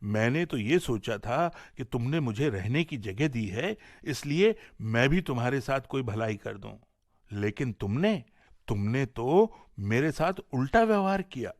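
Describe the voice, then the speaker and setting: A simple-hearted folk-tale man, acted by a male narrator, speaks up in earnest reproach. His mid-range voice is expressive and commanding, recorded close and dry. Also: mid-range voice, a little slow, no room sound. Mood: reproachful.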